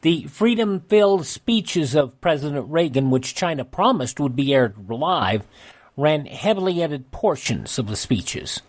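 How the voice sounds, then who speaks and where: A man's voice: on the high side, very animated, measured pace. A man hosting a radio show, reading a scripted narration of historical events.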